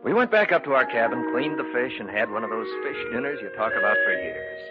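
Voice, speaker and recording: A man's voice: medium pitch, expressive, quick pace, lightly acted. A man playing a level-headed, sceptical fisherman in an old radio drama, both narrating and acting out the tale. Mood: measured, grave narrating. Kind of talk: 1940s-50s radio acting and first-person narration. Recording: dull vintage radio recording with music.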